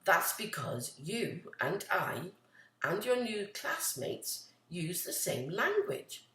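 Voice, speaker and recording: A kind female cat teacher from a children's storybook, acted out by a woman reader. She explains things slowly and reassuringly, with expressive pitch, in an echoey room. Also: heavily acted. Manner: explanatory, encouraging. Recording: roomy, echoey, distant from the mic.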